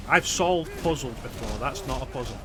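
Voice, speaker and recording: A man's voice: medium pitch, animated, moderate pace, background noise. Wry, chatty male game streamer. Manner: wry, chatty, answers chat. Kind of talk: casual chat while playing. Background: game music.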